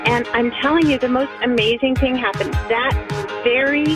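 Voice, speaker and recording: Earnest higher-voiced woman sharing testimony. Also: steady tone, moderate pace, music in the background. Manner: heartfelt, earnest storytelling. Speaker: listener giving a testimonial. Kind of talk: personal testimony in a radio promo.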